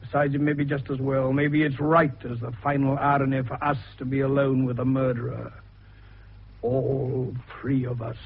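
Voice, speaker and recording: An actor playing an older, eccentric male doctor sleuth in a radio detective drama, with a gravelly voice and an avuncular, theatrical way of explaining. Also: mid-range voice, level tone, moderate pace, a little hiss or hum, lightly acted. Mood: grave, assured. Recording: dull, muffled radio recording.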